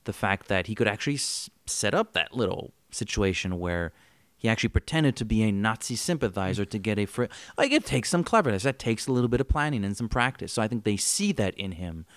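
A man co-hosting a podcast speaks in a fairly low voice, wry and chatty. He thinks aloud with plenty of fillers, and the recording is dry and close. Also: sing-song, brisk, fairly bright tone, dry recording. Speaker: podcast co-host. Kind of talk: casual chat.

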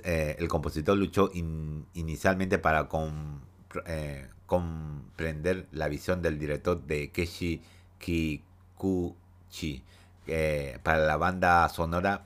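A man's voice: rumbling low, fairly bright tone. A man hosting a casual gaming news podcast, chatty and hesitant, with a head cold and a stuffy nose. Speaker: podcast host.

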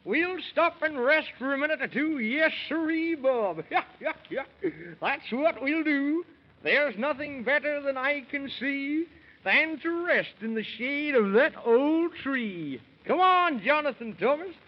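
A man's voice: very high voice, expressive, unhurried. An actor playing a kindly, folksy Man in the Moon sidekick who repeats himself, in a 1930s children's radio serial. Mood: agreeable, eager. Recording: muffled 1930s radio recording.

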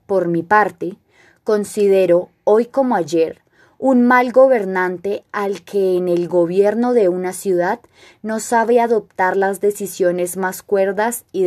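A woman reciting a classical tragedy monologue as a stern, proud king, in a solemn, measured, declamatory manner. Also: medium pitch, darkish tone, close to an everyday voice. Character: stern, proud male king addressing elders.

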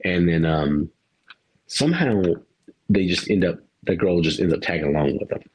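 Low husky man rambling about a movie. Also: animated, normal speed, darkish tone, poor audio. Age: adult parent. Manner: rambling, laughs often, swears casually. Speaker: podcast co-host. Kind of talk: casual movie review chat.

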